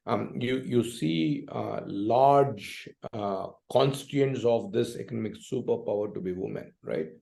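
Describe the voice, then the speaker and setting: Male interview guest, South Indian accent, gravelly fry, unhurried and halting, often seeks agreement mid-thought. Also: mid-range voice, expressive, mellow. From Hyderabad, Deccan region of India. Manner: hesitant phrasing, frequently tags sentences with "right?". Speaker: entrepreneur and investor, interview guest. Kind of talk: conversational interview answer.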